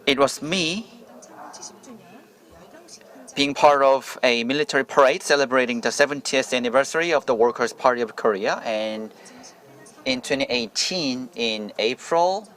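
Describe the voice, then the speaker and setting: Male simultaneous interpreter, mid-pitched, halting delivery with frequent restarts. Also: a bit bright. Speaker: interpreter voicing a journalist's speech. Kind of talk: simultaneous interpretation of a forum presentation.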